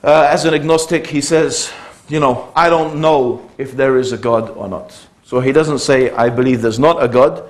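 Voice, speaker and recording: A man in his fifties speaks in a middle-pitched voice, neither deep nor high. He recounts his early life in a relaxed, digressive way, pausing on fillers and starting phrases over. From United Kingdom. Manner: conversational, digressive, with many fillers and restarts. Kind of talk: public talk, personal storytelling.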